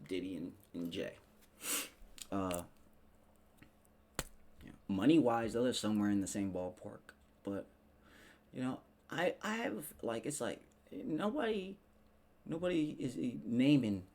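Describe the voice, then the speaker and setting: A man's voice: on the low side, darkish tone. A man hosting a casual commentary show, talking in a slangy, sarcastic, profane way as he rants and mimics people. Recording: clearly noisy background.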